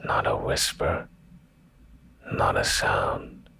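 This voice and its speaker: A man reading a bedtime story in ASMR style, hushed and deliberate with pauses, in a breathy, gravelly low whisper close to the microphone. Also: a little hiss or hum.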